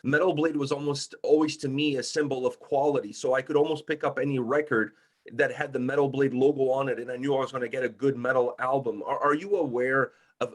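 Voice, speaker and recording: A middle-aged man from Portugal speaking conversationally as the host of an interview. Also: medium pitch.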